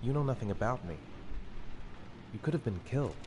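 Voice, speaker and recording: Weary, guarded male fugitive played by a man, medium pitch, video game drama, rainy background. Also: lively intonation, fairly quick, close to an everyday voice. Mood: weary, questioning. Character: weary wounded father on the run, guarded and troubled. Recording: clear rain noise behind voice.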